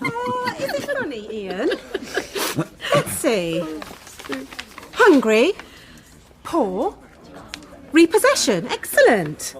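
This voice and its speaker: An actress in a radio play playing a brisk, officious female job-programme adviser with a condescending manner. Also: sits high, huge pitch swings, full character voice. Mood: briskly officious.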